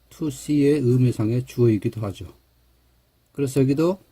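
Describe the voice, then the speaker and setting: A middle-aged man with a Jeolla Korean accent giving a relaxed English grammar lecture, pausing on fillers and correcting himself. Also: mid-range voice, slightly rough. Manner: relaxed, slightly hesitant, with fillers and self-corrections.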